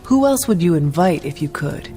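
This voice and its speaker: A man's voice: a little high, big pitch swings, moderate pace, warm tone, soft background music. A man acting as an earnest, literal-minded young hero in a video game cutscene, with precise, formal speech.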